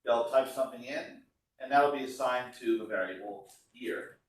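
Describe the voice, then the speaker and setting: A man's voice: mid-range voice, moderate pace. A man giving an informal classroom lecture, explaining code step by step in a conversational way as a university instructor. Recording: echoey room, voice far from mic.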